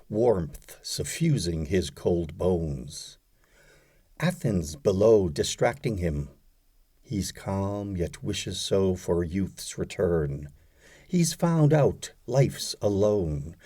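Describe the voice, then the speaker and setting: A man recites poetry in a mellow, slightly low voice. His delivery is slow and deliberate, broken by frequent pauses, with dramatic phrasing. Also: slightly rough. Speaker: audiobook reader of poetry.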